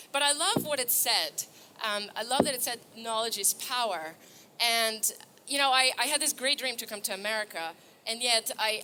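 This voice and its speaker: A young woman from Bulgaria speaks on a conference stage, earnest and upbeat, often breaking into laughter. Her voice is very bright and sits a little high. She talks with plenty of fillers and restarts, and a faint hiss lies under the microphone sound. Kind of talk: stage talk. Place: conference stage before an audience.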